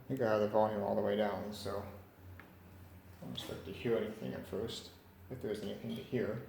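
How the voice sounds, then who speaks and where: A man's voice: lowish voice, steady tone, a little fast, creaky, darkish tone. A man giving a casual hands-on demonstration, tentative and thinking aloud as he works. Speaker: vintage radio repair hobbyist narrating. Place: indoor room at a cluttered workbench. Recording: echoey room with faint background noise.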